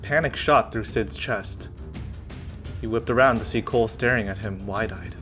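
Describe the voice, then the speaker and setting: A man's voice: lowish voice, normal speed, fairly bright tone, faint music behind. A man reading scripted story narration from a visual novel in a dramatic style.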